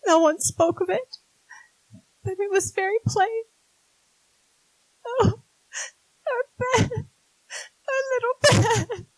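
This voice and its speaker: Strong-willed writer sister narrating period radio drama: very high, slow, heartfelt and faltering. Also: female voice, no echo, low-quality recording. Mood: tearful. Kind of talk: 1940s-style radio drama acting and narration.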